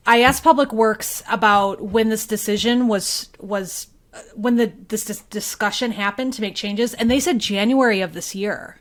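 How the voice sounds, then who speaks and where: Woman, mid-pitched, local reporter chatting on a podcast; informal, animated and playful delivery. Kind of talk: casual conversational podcast discussion.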